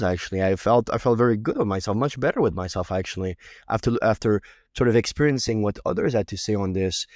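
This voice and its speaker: A man's voice: medium pitch, darkish tone, no room sound, clean studio sound. French-accented man hosting a podcast. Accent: Québécois French. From Quebec. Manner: repeats words and restarts phrases often. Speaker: podcast host. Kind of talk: reflective interview talk.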